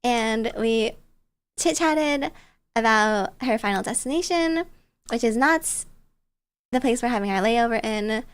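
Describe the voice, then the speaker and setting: A woman with a high voice chats casually on a livestream, telling stories as she goes. Her delivery is bubbly and playful, with a cutesy touch. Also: a little slow, long pauses, smooth. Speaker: streamer. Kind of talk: casual storytelling chat on a livestream.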